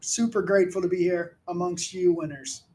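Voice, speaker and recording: A man's voice: high voice, steady tone, moderate pace, mellow. A man introducing himself on a panel, humble and grateful.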